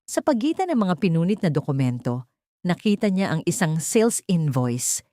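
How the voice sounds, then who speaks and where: A woman's voice: on the low side, sing-song, normal speed, dark tone, no echo, studio quality. A woman with a Filipino accent narrating a scripted dramatic story.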